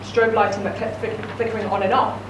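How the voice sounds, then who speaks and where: A woman's voice: mid-range voice, flat delivery, a little fast. Woman reading a story in an echoey room. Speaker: reader. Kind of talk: reading a short story aloud. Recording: echoey room, distant mic, noisy.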